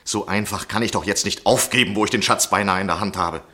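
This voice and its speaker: Deep, husky, brooding gentleman. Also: quick pace. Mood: troubled, obsessive. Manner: brooding, questioning, hesitant. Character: obsessed, evasive gentleman biographer, restless and self-justifying. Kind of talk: 1960s German radio play acting and narration.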